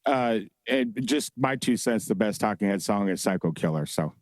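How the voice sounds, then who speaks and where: A man with a lowish voice chats as a podcast guest, rambling and reminiscing and often searching for a name mid-sentence. He sounds relaxed and conversational. The studio sound is dry and close. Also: moderate pace, dry recording. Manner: rambling, reminiscing, groping for names. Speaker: guest, bar owner. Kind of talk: casual podcast chat.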